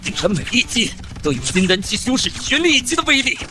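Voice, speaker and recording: A man voicing an arrogant, wrathful old cultivator, pompous and menacing, in a fantasy animated comic. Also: very high voice, quick pace, over music. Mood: alarmed. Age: older.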